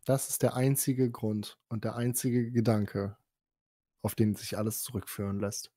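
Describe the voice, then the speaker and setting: A man chatting casually on a podcast, excitable and crude, swearing and mixing in English slang. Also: lowish voice, a little slow, no room sound, studio quality. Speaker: podcast host. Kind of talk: casual chat.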